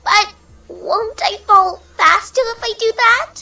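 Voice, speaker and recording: A woman's voice: very high voice, slow pace, vocal fry, sharp tone, faint music behind, exaggerated voice. A woman voicing a small, trusting, nervous young filly pegasus pony in a cartoon fan story, speaking hesitantly. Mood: nervous, questioning.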